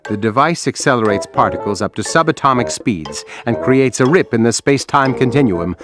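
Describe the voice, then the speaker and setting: A man's voice: mid-range voice, close to an everyday voice. A man voicing a pompous, scornful megalomaniac lab mouse in a cartoon game, speaking in a grand, precise manner. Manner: grandiose, precise, weary disdain. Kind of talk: cartoon video game acting. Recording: loud music behind the voice.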